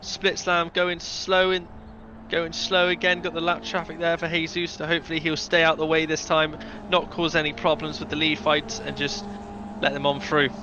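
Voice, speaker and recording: A man's voice: a little high, completely flat delivery, normal speed, dull audio, noisy background. A man giving color commentary on an online race, chatty and analytical, with light teasing of the drivers. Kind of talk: sim racing color commentary.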